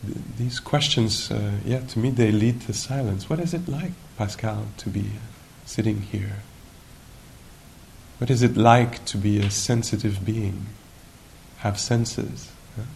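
Male meditation teacher, lowish creaky voice, unhurried and musing, live recording with faint rumbling noise. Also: rough recording. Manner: unhurried, musing, gentle questioning with long pauses. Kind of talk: guided meditation at a retreat.

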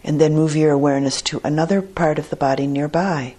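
A woman teacher guiding a meditation in a measured, deliberate way with long pauses and a husky, soft-edged voice. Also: deep voice. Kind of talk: guided meditation instruction.

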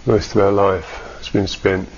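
A man teaching a guided meditation in a measured, halting way with long pauses, on a dull recording. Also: lowish voice, little pitch movement, vocal fry, a little hiss or hum.

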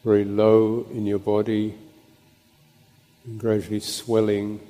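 In a long, echoing hall, a man with a lowish voice guides a meditation. He speaks very slowly as a monk teaching, placing short phrases between pauses. His manner is contemplative and halting. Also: completely flat delivery, dark tone. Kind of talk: guided meditation and Dhamma talk. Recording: long reverberant hall echo.

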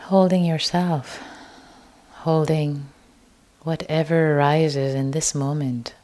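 A woman meditation teacher with a low, mellow voice guides a practice. She speaks gently and very slowly, in short soft phrases that leave space between them. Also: steady tone.

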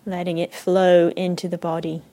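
Soft-spoken woman guiding a meditation. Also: on the low side, level tone. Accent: Israeli. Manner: slow, soft and unhurried, with long pauses. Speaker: meditation teacher. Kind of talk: guided meditation.

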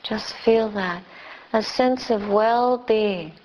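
A woman leading a guided meditation as a teacher, speaking gently and unhurriedly in short phrases with long pauses. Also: on the high side, slight background noise, poor audio. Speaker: Buddhist nun, meditation teacher.

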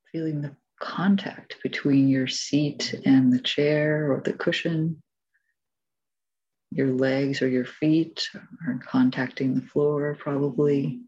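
Slow, mellow, low-voiced woman guiding meditation. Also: breathy edge, dull audio. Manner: gentle, measured instruction with long pauses. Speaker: meditation teacher. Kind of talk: guided meditation.

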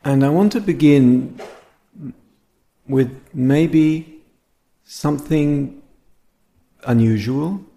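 A man guiding a meditation as a teacher, speaking gently and contemplatively in short phrases separated by pauses. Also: fairly high pitch, vocal fry. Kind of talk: guided meditation talk.

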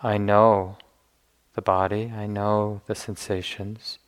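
A man leading a guided meditation as a teacher, speaking gently in unhurried phrases with long pauses. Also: on the low side, level tone, clear, resonant tone.

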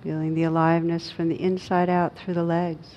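A woman leads a guided meditation in a low voice that stays very even. Her phrasing is gentle and spacious, with short instructions set apart by pauses. The recording is slightly dull. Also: slight background noise. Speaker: meditation teacher.

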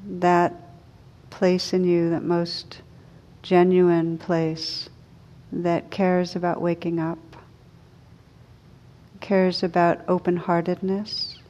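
A woman meditation teacher leading a guided meditation in a gentle, unhurried, soothing way with long pauses. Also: fairly low pitch, little pitch movement, dull audio.

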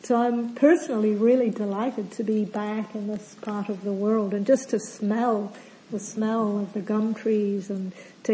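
A woman meditation teacher giving a calm, gentle opening talk at a retreat. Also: medium pitch, rough recording. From not from Australia. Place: retreat centre hall.

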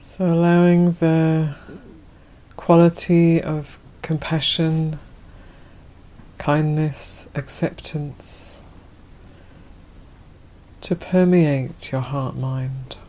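Woman doing guided meditation, really slow and gentle, dark mellow tone, bit of background hiss. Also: sits low, fairly even intonation, rough recording. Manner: gentle, unhurried, spacious phrasing. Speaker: Buddhist nun leading a guided meditation.

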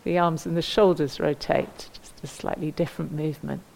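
A woman with a low-pitched voice leads a guided mindful movement practice. She speaks as a teacher, gently and without hurry. Her instructions come as invitations, with pauses left between them. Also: steady tone, vocal fry, slight background noise, low-quality recording.

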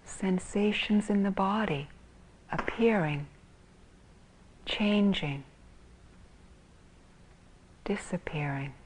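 Very slow, husky woman guiding meditation. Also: medium pitch, rough recording. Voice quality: soft, breathy, husky. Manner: calm, gentle, spacious phrasing with long pauses. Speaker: meditation teacher. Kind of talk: guided meditation.

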